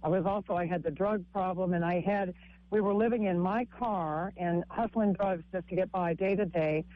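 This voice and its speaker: A woman with a husky voice, a comic and author telling her story haltingly in a radio interview, with frequent restarts. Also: steady tone, phone-quality sound, slight background noise. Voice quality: husky, low-sounding voice. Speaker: guest author and stand-up comic.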